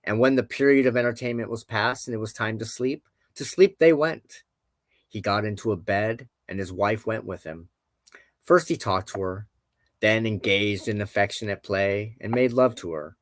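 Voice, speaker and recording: A man reads a legend aloud as a storyteller. His voice is on the low side, and the recording is dry, with very little room sound. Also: moderate pace, no room sound. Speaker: host, storyteller.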